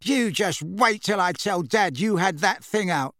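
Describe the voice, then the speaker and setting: A man plays a big teenage bully in a storybook, his voice pushed up high. He is sneering and defensive, snarling his boasts and jibes in a swooping delivery. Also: big pitch swings, unhurried, vocal fry, fairly bright tone, no room sound, clean studio sound, exaggerated voice. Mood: snarling, boastful.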